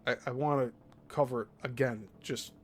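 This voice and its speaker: A man's voice: mid-range voice, steady tone, normal speed. A man with a Midwestern accent hosting a live stream, commenting bluntly and with exasperation on clips he plays. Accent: Midwestern American. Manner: blunt, exasperated, laughs often. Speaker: show host.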